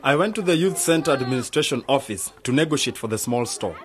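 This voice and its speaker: An actor playing an eager, enterprising young man in a radio drama, excitable and persuasive. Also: medium pitch, fast talker, faint music behind, close to an everyday voice.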